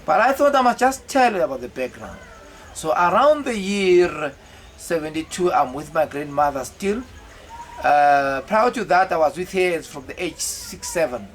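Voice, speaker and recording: Halting middle-aged man telling his life story. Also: fairly high pitch, expressive. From South Africa. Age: 50s. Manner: halting, with many fillers and repeated words. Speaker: autobiographical vlogger. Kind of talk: rambling personal life story.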